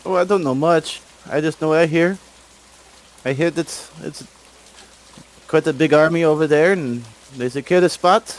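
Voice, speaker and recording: A man's voice: on the high side, normal speed, pauses often, lightly acted, rough recording. A man playing a humble older villager who speaks simple, broken English in a meek, hesitant way.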